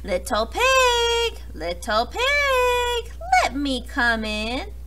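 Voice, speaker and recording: A woman's voice: very high voice, huge pitch swings, very noisy, pitched above the performer's own voice, full character voice. Woman voicing a sly, drawling storybook coyote. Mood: sweetly coaxing. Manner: coaxing, drawn-out delivery. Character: sly, hungry male trickster coyote, sweetly wheedling.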